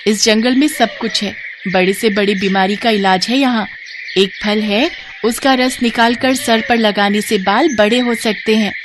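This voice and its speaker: A woman voicing a kindly, wise female forest bird in a cartoon story, speaking in a gentle, reassuring way. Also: fairly high pitch, steady tone, unhurried, background noise, close to an everyday voice.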